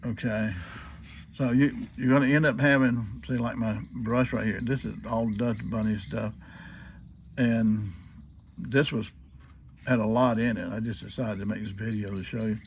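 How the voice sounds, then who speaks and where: A man's voice: mid-range voice, steady tone, normal speed, a little hiss or hum. Folksy man giving a hands-on demonstration. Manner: folksy, asks and answers own questions. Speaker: hobbyist demonstrating old radio restoration. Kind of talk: casual hands-on demonstration, unscripted.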